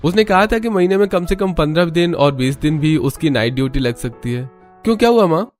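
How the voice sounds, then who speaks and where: A man's voice: a little high, lively intonation, brisk, faint music behind, lightly acted. A man voicing the agreeable adult son of a thrifty family in a cartoon story, explaining things conversationally. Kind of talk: cartoon story character dialogue.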